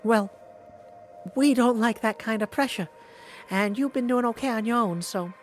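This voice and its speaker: A man's voice: very high voice, moderate pace, voice pushed up. A man voicing a breezy, folksy goddess of fate from a video game, chummy and offhand. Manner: offhand, chummy, calls listener sweetie. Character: breezy, folksy female Fates, casual elder goddesses. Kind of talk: video game character practice.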